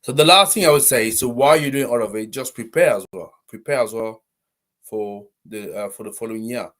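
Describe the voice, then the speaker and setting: A man hosting a live stream, talking casually and warmly to viewers, rambling with many fillers and restarts. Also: lowish voice. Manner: friendly, encouraging, addresses viewers directly. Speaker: host of a live business stream.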